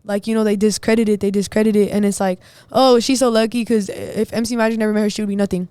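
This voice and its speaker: A young woman singer with a deep voice, talking bluntly and defiantly with a lot of slang in a casual podcast chat. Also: little pitch movement, fast talker, warm tone, smooth, dry recording. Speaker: singer and guest.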